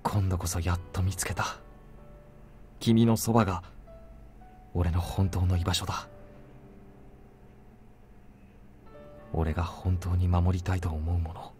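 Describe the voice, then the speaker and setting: An actor voices a devoted young man in a visual novel. He speaks low and briskly, with solemn, intense sincerity. Music sits softly behind him. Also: level tone, natural voice. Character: earnest, determined young man devoted to a woman. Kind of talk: Japanese visual novel voice acting.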